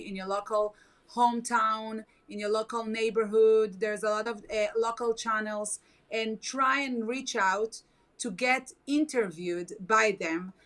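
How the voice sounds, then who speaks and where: A woman's voice: fairly high pitch, fairly bright tone. Earnest woman, Hebrew native speaker. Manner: earnest, halting non-native phrasing. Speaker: guest. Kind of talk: casual video chat.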